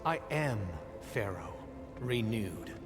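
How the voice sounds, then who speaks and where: An actor playing a grandiose cult leader in a video game, convinced he is a reborn ancestor, with a pompous, theatrical, deliberate delivery. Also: fairly low pitch, lively intonation. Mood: reverent, grandiose. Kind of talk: video game cutscene acting. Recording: long hall reverb, music behind.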